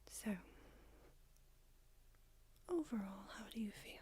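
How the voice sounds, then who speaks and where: A woman whispering in an ASMR roleplay as an ancient, aloof female vampire doctor, unhurried and drily haughty. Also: medium pitch, lively intonation, mellow, a little hiss or hum. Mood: languid haughty. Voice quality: whispered throughout. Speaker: ASMR creator.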